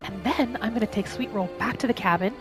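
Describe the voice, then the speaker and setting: A woman narrating her game roleplay in character, with a gentle, musing manner as if talking to herself and her pets. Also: medium pitch, fast talker. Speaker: roleplay gaming host. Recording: music behind the voice. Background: background music.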